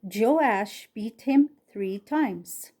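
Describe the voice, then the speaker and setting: A woman for whom English is a second language, reading a Bible story aloud with careful, halting phrasing and pauses between phrases. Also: medium pitch, animated, dark tone. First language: not English.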